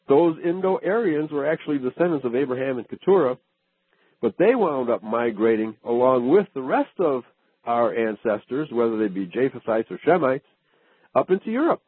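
A man's voice: medium pitch, expressive, normal speed, husky, no echo. A man hosting a radio talk show, chatting casually and checking in with listeners with frequent "okay?". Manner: asks questions, frequent "okay" and "right" affirmations. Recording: phone-like radio audio.

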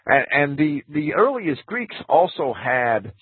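A man's voice: fairly high pitch, big pitch swings, takes it slowly, breathy edge, no echo. A man guest on a radio talk show, explaining in a hesitant way with many restarts and careful caveats. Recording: phone-like radio audio.

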